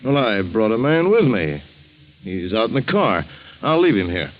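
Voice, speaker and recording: A muffled old radio recording of an insistent police captain. His mid-pitched male voice swings up and down a lot as he probes with folksy authority in 1940s drama style. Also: very animated, moderate pace, a little hiss or hum. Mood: resigned, businesslike. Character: brisk, persistent male police captain questioning a victim. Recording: dull, band-limited vintage radio recording.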